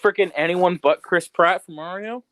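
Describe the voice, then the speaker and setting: A man's voice: high voice, moderate pace, a bit bright, no echo. A man co-hosting a podcast, bantering casually with a playful, sweary, irreverent manner. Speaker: podcast co-host.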